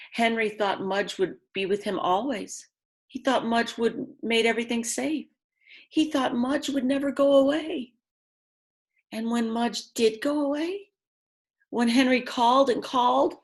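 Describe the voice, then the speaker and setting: Slow-paced woman reading a children's story. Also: a little high. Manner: slow, simple phrasing with dramatic emphasis and chatty asides. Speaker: children's read-aloud storyteller. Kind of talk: expressive picture-book reading with asides to young listeners.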